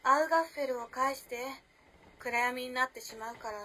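A woman's voice: sits high, fairly quick, sharp tone, husky, full character voice, low-quality recording. A woman playing a young modern lady in a radio drama, cool and teasing, with old-fashioned feminine politeness. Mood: playfully mysterious. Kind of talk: Japanese radio drama acting.

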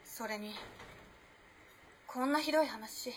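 An actress playing a composed, enigmatic young modern woman in a period Japanese radio play, speaking in a cool, measured, teasing way. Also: a little high. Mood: aloof, wistful. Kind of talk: dramatic radio play acting. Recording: clear background noise and ambience.

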